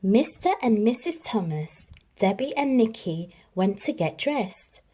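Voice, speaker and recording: A woman reading a children's story aloud in an expressive, dramatic storytelling manner. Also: medium pitch, unhurried, husky. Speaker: storybook reader.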